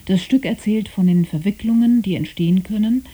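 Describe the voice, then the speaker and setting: A woman's voice: medium pitch, a little fast, dark tone, slightly rough, low-quality recording. A woman reading scripted programme announcements on radio in a composed, formal manner.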